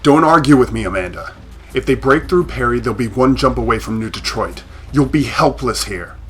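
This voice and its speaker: A man's voice: mid-range voice, lively intonation, moderate pace, fairly bright tone, soft background music, lightly acted. A man acting in a sci-fi audio drama as an anxious, protective husband and pilot, hesitant and earnest. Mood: urgent, pleading.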